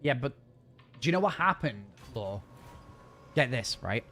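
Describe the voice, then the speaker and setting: A man's voice: mid-range voice, sing-song, fairly bright tone, faint music behind. A man chatting casually and rambling while live-streaming a game from home. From north of England. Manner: chatty, rambling, fast-talking. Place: home gaming setup.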